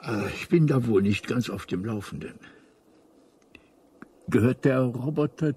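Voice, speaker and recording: An actor playing an elderly, absent-minded alien in a radio play, hesitant, rambling and formal, apologetic yet mildly ominous, with a husky voice. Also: male voice, mid-range voice, unhurried, dark tone.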